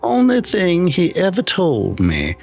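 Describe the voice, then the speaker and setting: Man voicing a weary, slow-talking old engineer. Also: sits high, wildly swooping pitch, creaky, a little mellow, faint music behind, pitched above the performer's own voice, exaggerated voice. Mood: grave warning. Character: older retired male broadcast engineer, weary and plainspoken.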